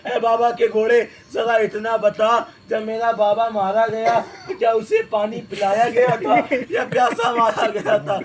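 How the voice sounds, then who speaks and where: A man's voice: very high-pitched, few pauses, a crowd in the background. A man voicing a grieving little girl who cries out to her slain father in a sobbing, wailing lament. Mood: pleading.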